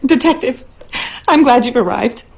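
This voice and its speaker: A woman's voice: high-pitched, lively intonation, moderate pace, a little mellow, a little hiss or hum. An actress playing a worried, skeptical wife and mother, speaking earnestly and dramatically in a game monologue. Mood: anxious, pleading.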